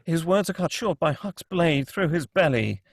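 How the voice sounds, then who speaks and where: A man's voice: a little high, expressive, normal speed, no room sound, clean studio sound. A man reading scripted screenplay action and scene headings as the narrator of a table read. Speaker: narrator reading stage directions.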